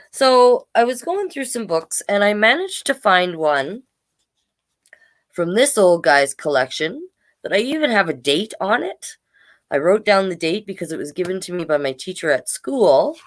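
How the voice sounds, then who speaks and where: A woman in her forties giving a casual children's read-aloud, playful and expressive, with chatty asides. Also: mid-range voice. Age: 40s. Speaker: children's storyteller.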